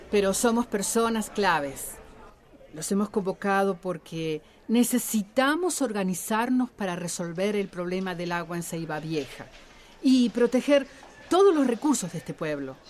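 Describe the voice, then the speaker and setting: A woman's voice: medium pitch, expressive, a little slow, darkish tone, a faint crowd, lightly acted. A woman playing an upbeat, level-headed community organizer in a radio drama. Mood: rallying.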